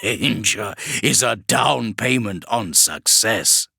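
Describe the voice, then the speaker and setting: A chained demon with a low, unhurried drawl, every word dripping with cold irony, as a male narrator puts him on. The voice swings expressively through its lines. The recording is dry and close, with no room sound. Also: lively intonation, creaky, pushed and bright, breathy edge, no room sound, full character voice. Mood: ironic menacing. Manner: slow, ironic, menacing.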